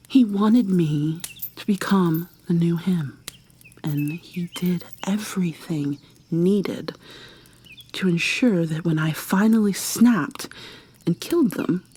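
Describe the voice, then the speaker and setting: Woman performing a possessive yandere killer, female, ASMR roleplay, soft breathy half-whisper, slightly low, unhurried, mock-sweet, coldly threatening. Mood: chilling confession. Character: obsessive, menacing female serial killer lover, coldly possessive. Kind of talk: intimate ASMR roleplay monologue.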